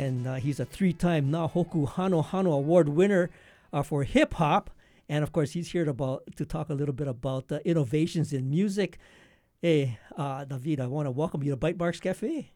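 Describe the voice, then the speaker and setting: A male radio host with a fairly high, mellow voice, recorded close and dry. He talks conversationally, feeling his way haltingly toward each question. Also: no echo, soft background music. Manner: halting, searching for words.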